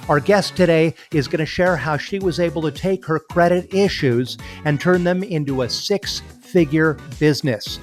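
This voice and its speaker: A man's voice: a little high, moderate pace, hardly any pauses, faint music behind. A man hosting a podcast, upbeat and promotional, eagerly introducing and questioning his guest. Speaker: podcast host.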